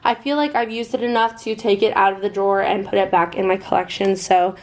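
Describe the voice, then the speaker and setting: A young American woman with a slightly hoarse voice, chatting casually through a makeup vlog. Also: mid-range voice, steady tone, a little mellow. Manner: chatty, upbeat, fast-talking. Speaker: beauty vlogger.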